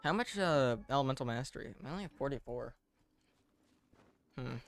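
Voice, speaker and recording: A man streams a video game and talks through it casually as he plays. His mid-pitched voice is full of creak and gravel. He comes across as dry and deadpan, often poking fun at himself. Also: normal speed. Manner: dry, self-deprecating, deadpan. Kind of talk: live gameplay commentary, casual chat.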